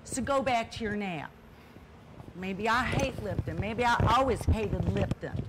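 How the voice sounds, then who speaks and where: A woman's voice: medium pitch, measured pace, background noise, close to an everyday voice. A woman playing a stubborn, huffy older spinster sister in a comedic stage play, speaking with emphatic repetition. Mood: defiant.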